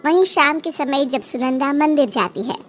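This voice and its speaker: High-pitched woman story narrator. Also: lively intonation, moderate pace, background noise. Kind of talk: scripted cartoon story narration.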